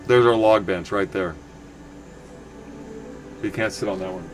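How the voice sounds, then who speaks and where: A man casually commenting on his game for viewers, rambling and correcting himself as he goes, with a loud voice. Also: on the low side, unhurried, background noise. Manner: rambling, self-correcting, chatty asides to viewers. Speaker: livestream game player.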